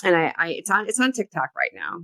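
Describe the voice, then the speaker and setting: A woman's voice: lowish voice, big pitch swings, fast talker, gravelly, fairly bright tone, no room sound. Co-host, adult woman, playful and rambling, dry close recording. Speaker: podcast co-host. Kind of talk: casual podcast chat.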